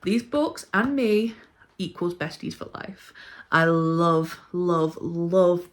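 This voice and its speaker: A woman with a slightly low voice talks in a casual, informal vlog style. She reviews books in an emphatic, gushing manner, as if chatting to followers. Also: takes it slowly. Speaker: book reviewer vlogger. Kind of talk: casual chat ranking books.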